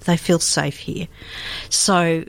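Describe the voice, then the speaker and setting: An older Australian woman from Melbourne, a radio guest telling reflective stories about her life with pauses and restarts. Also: lowish voice, fairly even intonation, vocal fry. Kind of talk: conversational storytelling.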